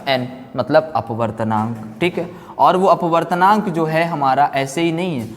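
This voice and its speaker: A male teacher lectures in a room with long, hall-like reverb. His voice sits a little high for a man. He speaks informally, throwing questions at students and then answering them himself, often repeating key phrases. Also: moderate pace. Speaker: physics teacher. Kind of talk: exam-coaching classroom lecture.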